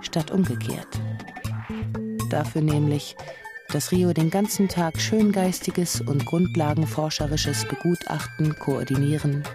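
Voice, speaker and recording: Female narrator for literary radio play: lowish voice, composed, precise scripted storytelling, clear music bed. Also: steady tone, unhurried.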